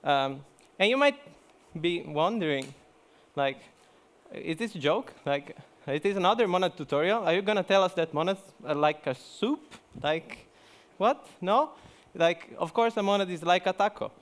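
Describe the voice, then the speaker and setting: A man's voice: sits high, expressive, moderate pace. A young man from Italy gives a joking, chatty conference talk, full of fillers and restarts. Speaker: conference speaker. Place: conference hall.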